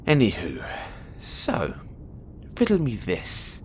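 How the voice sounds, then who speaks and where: Man acting as a cocky, volatile caged experiment, animated. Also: lowish voice, takes it slowly, slight background noise, exaggerated voice. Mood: teasing, probing. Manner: teasing, swaggering, swinging from soft murmurs to loud outbursts. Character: huge imprisoned male experiment, cocky, bitter, volatile. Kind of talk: intimate audio roleplay monologue addressed to the listener.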